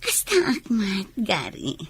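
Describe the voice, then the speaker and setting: A woman's voice: medium pitch, huge pitch swings, slow pace, husky, no echo, exaggerated voice. A woman playing a clingy, flirtatious and possessive mistress with a Filipino accent in an audio drama. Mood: flirtatious, wistful. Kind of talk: Ilocano audio drama acting.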